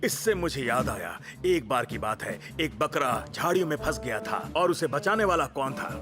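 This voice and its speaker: A man's voice: medium pitch, expressive, moderate pace, over music, close to an everyday voice. A man voicing a pompous, boastful, bumbling older fire chief in a children's cartoon, blustering and hesitating. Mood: reminiscing. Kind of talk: Hindi-dubbed children's cartoon acting.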